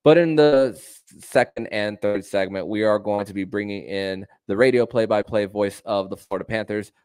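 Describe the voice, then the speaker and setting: A man hosting a sports podcast, talking in a halting way with repeated and drawn-out words. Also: on the low side, a little mellow, clear, resonant tone, no room sound. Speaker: podcast host.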